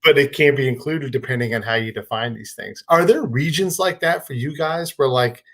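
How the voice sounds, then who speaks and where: A man's voice: medium pitch, moderate pace, a bit bright. A man hosting a podcast, chatting casually and asking long, wandering questions full of examples. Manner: conversational, lists examples, asks long rambling questions. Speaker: podcast host. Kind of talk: casual interview chat.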